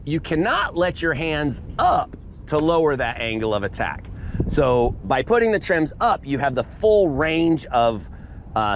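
A man's voice: mid-range voice, slight background noise. A man instructing a paramotor class outdoors on a sandy beach, explaining gear emphatically with punchy asides, recorded on a head-mounted camera. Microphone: head-mounted camera mic.

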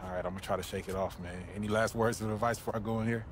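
An actor playing a nervous, excitable young American basketball prospect in a video game, talking in casual slang. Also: male voice, on the low side, rapid-fire, background noise, lightly acted. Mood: nervous, excited. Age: young adult.

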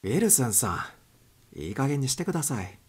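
A man's voice: mid-range voice, very animated, fairly quick, husky, voice pushed up. Man acting firm, exasperated airline captain. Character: stern airline captain, male, calming and impatient.

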